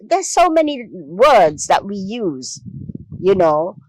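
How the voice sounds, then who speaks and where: A woman's voice: mid-range voice, sing-song, slow pace, a little mellow, dry recording, low-quality recording. A woman speaking as an interview guest, emphatic and impassioned, repeating phrases for weight. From Jamaica. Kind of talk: impassioned discussion.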